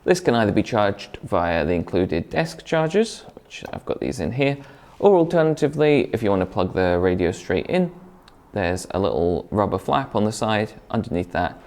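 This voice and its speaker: A man's voice: lowish voice, a little mellow. A man presenting a product review in a conversational, explanatory way, partly scripted.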